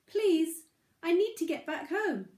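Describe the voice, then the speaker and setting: A woman's voice: very high voice, sing-song, takes it slowly, a little mellow, reverberant, pitched above the performer's own voice, heavily acted. A woman voicing a small lost male pebble in a children's story, polite but stubbornly homesick and pleading. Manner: pleading, insistent.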